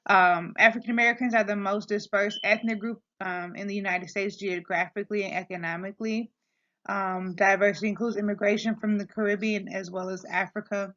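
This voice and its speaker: Woman, student presenter, monotone, hesitant, many fillers, muffled lo-fi sound. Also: medium pitch, fairly quick. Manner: hesitant, with constant um fillers and restarts. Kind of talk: reading a class presentation. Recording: dull lo-fi recording.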